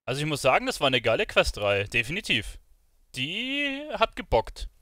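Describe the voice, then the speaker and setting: A man's voice: fairly high pitch, wildly swooping pitch, moderate pace, bright, forceful tone, dry recording, studio quality. A man giving casual let's play commentary as a gaming streamer.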